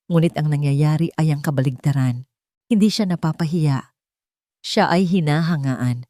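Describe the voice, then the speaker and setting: A woman's voice: deep voice, a little mellow, no room sound, studio quality. A woman narrating a scripted dramatic story in a solemn, sentimental manner.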